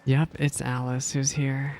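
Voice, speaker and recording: A woman with a very deep voice for her sex reacts casually on a game stream. Her tone is dark and relaxed, with faint music behind her. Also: unhurried. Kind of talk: casual live reaction commentary.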